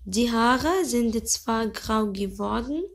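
Young woman, Egyptian Arabic accent, language teacher, fairly high voice, bouncy intonation, slow careful repeats then quick explanations. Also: animated, vocal fry. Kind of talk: vocabulary lesson.

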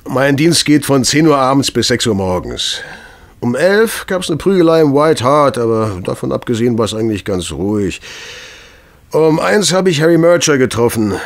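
An actor playing a talkative, down-to-earth London police constable in a German audio drama, telling things in a colloquial way. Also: male voice, mid-range voice, lightly acted. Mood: chatty, matter-of-fact. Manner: colloquial, chatty storytelling.

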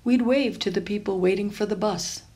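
A woman reading a children's picture book aloud in an expressive, deliberate storytelling way, lingering over phrases. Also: mid-range voice. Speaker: storybook reader.

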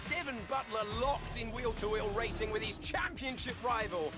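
An excitable motor race commentator in a racing video game, emphatic and energetic. His voice sits high for a man. Clear music plays underneath. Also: unhurried. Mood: excited.

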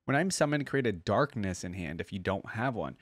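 Low-voiced man reacting casually. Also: lively intonation, fairly quick, dry recording, clean studio sound. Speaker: reaction video host. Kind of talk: casual game reaction commentary. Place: small indoor room, gaming chair. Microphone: close desk microphone on boom arm. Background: game trailer music.

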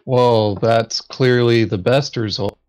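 A man's voice: mid-range voice, fairly even intonation, slow pace, a little mellow, clear, resonant tone. A man chatting casually as he thinks through his moves in an online tabletop game. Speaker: board game player.

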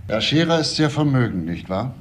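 An actor playing a calm, persistent homicide detective who asks short, matter-of-fact questions in a crime drama. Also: male voice, medium pitch, a little fast, a little hiss or hum, lightly acted. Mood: probing.

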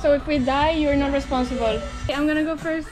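A woman's voice: high voice, fast talker, over a noisy crowd. A young woman in her twenties chatting playfully for a travel vlog, laughing often, filmed outdoors on a handheld camera. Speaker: vlogger. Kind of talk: casual travel vlog chat. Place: outdoors on a hill road.

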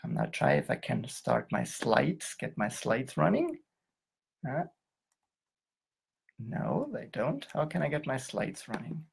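A middle-aged man from Germany giving an online lecture over a video call, talking in a halting way with restarts. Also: medium pitch, wildly swooping pitch, vocal fry, slightly rough. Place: indoor room, video call.

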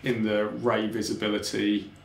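Low-voiced man explaining software casually. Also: completely flat delivery, moderate pace, gravelly. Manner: informal, technical, frequent "kind of" and "um" hedges. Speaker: 3D artist explaining his work. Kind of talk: relaxed screen-recorded tutorial walkthrough. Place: small home office. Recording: roomy home office with faint background hum.

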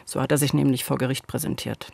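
A woman's voice: very deep voice, level tone, fast, creaky, a little mellow, breathy edge, no room sound. Suspenseful woman storyteller, dry studio sound. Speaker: true-crime podcast co-host. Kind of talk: dramatic conversational true-crime storytelling. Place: dark radio studio. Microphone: studio microphone on boom arm, headset monitoring.

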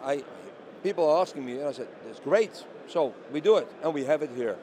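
A man in a product interview speaks with a mellow, relaxed tone at a slightly raised pitch. He explains step by step, posing questions and answering them himself. A light bustle of an exhibition hall sits behind his voice. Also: brisk, slight background noise. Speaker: company representative being interviewed. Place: busy trade show hall booth. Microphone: handheld interview microphone held by interviewer.

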